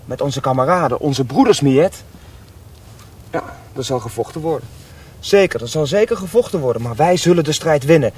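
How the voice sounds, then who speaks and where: A man's voice: on the high side, a little mellow, husky, recorded from a distance. An actor playing an idealistic seventeen-year-old rebel boy in love, speaking earnestly and fervently in radio drama style.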